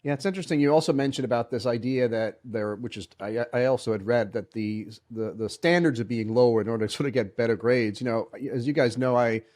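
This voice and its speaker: Male co-host from Chile, rapid, hesitant speech. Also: mid-range voice, darkish tone. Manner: hesitant, lots of restarts. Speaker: podcast co-host. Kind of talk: casual discussion.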